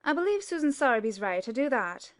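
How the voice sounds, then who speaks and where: A woman's voice: on the high side, very animated, a little fast. A woman playing a plain-spoken, gossipy housekeeper in an audiobook dramatic reading, with homely colloquial speech. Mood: chatty, confiding.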